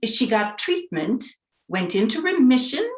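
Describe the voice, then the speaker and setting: A woman's voice: a little high, big pitch swings, a little slow. A woman playing a bossy, determined older woman in a staged reading of a play. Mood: bossy, coaxing. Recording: roomy, echoey sound.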